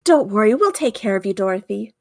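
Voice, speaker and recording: A woman playing a bossy but caring good witch in a tabletop game, brisk and by turns scolding or reassuring. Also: medium pitch, very animated, no echo, clean studio sound. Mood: gently reassuring.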